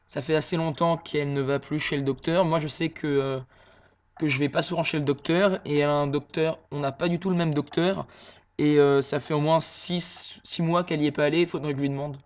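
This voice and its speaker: A man making a prank phone call, posing as a worried son and talking hesitantly with many pauses and fillers. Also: fairly high pitch, steady tone.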